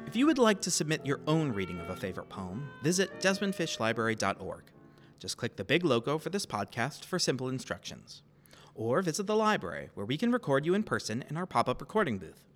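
A man speaks as a podcast host with an animated, varied delivery. He reads scripted announcements in a mid-pitched voice, with soft music behind him. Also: a little fast. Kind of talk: scripted podcast introduction and credits.

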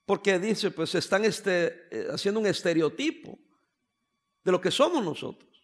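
A man's voice: sits high, animated, moderate pace. A man from El Salvador preaching a sermon as pastor of a church, conversational and joking as he talks to the congregation. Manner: conversational preaching with humorous asides.

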